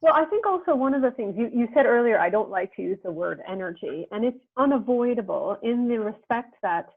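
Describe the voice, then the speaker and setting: A woman's voice: on the high side, lively intonation, fairly quick, dry recording. An earnest woman hosts an interview over a video call, thinking aloud in long, winding sentences. Her voice comes through thin and phone-like, with a dry, close sound. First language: English. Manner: earnest, reflective, speaks in long winding thoughts. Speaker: interviewer and host.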